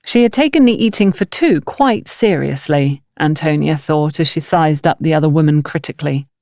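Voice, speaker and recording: A woman narrating a scripted novel as an audiobook, in a measured, articulate storytelling manner. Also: low voice, a little mellow, dry recording, studio quality.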